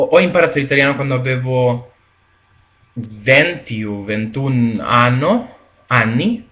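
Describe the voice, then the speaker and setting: A young man speaks in a language he learned, with a non-native accent. He talks slowly, pausing on fillers and repeating words as he searches for them. His voice sits in a middle register and the recording is slightly dull. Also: mid-range voice. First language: not Italian, Portuguese or Thai. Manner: slow and hesitant, many fillers and restarts. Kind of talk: casual spoken language demonstration.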